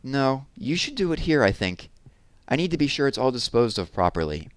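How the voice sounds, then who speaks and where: A man's voice: mid-range voice, sing-song, a little fast, dry recording, pitched above the performer's own voice, close to an everyday voice. A man voicing a sweetly bossy busybody mother in a storybook, polite and insistent. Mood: politely insistent.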